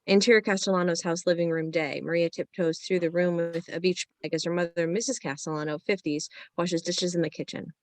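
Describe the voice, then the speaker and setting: A woman's voice: on the low side, steady tone, fairly quick, few pauses, no echo. A woman narrating the stage directions of a screenplay in a scripted reading.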